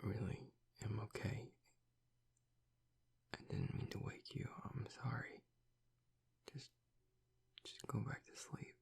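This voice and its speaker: Man acting a grieving werewolf boyfriend, gravelly whisper. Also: on the low side, full character voice. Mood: guarded, reluctant. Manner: halting, reluctant, haltingly confessional. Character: gruff grieving tsundere werewolf boyfriend, guarded and vulnerable. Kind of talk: intimate audio roleplay acting.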